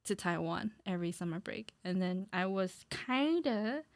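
A young adult woman in a casual chat, speaking in a jokey, animated way and swearing freely. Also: medium pitch, moderate pace, dry recording.

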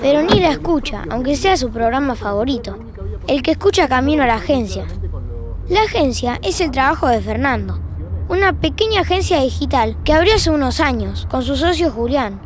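A woman's voice: sits high, sing-song, normal speed. A woman with an Argentine accent reading scripted, wry narration for an audio fiction.

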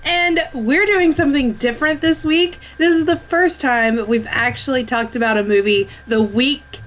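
Stuffy nosed woman podcast cohost, kinda high voice, chatty, lots of ums. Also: moderate pace, fairly bright tone, dull audio, slight background noise. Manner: chatty, laughs often, frequent fillers. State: congested with a sinus infection. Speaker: podcast co-host. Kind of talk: casual chat.

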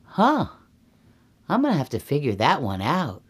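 A woman voicing a naive, excitable little girl in a children's story, full of exclamations and puzzled questions. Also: sits low, a little slow, breathy edge, heavily acted. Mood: puzzled, scheming.

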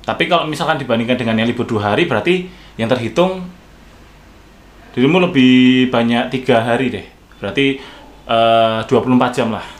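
A man from outside the capital with a thick Javanese-tinged Indonesian accent, chatting casually and thoughtfully, often pausing on fillers. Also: medium pitch. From Indonesian regions outside Jakarta. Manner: thoughtful, hesitant, many fillers. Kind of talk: casual chat. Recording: faint background noise.

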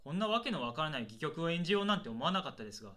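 A man's voice: a little high, big pitch swings, very fast, pushed and bright. A man acting as an earnest stage actor in a comic radio drama, polite but bluntly honest with his director. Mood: exasperated, frank. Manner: polite, matter-of-fact junior talking back.

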